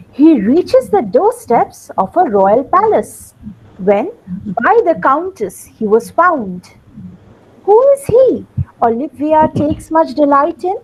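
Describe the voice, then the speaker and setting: A woman's voice: high-pitched, darkish tone, rough recording. A woman narrating a play in theatrical rhymed storytelling, addressing her listeners directly.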